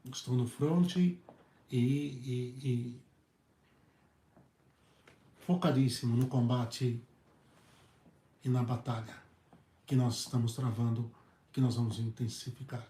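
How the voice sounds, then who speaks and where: Slow, hoarse Brazilian priest. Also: male voice, mid-range voice, fairly even intonation, warm tone, a little hiss or hum. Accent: Brazilian Portuguese. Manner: halting, repeats words and phrases, earnest and emphatic. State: hoarse, losing his voice. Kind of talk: preached talk on a video channel. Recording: echoey room.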